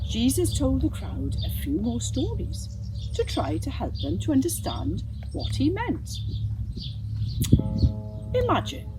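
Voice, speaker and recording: A woman reading a children's Bible story aloud in an expressive, animated way, putting questions to the young listeners. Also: sits high, poor audio. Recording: music behind the reading. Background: music.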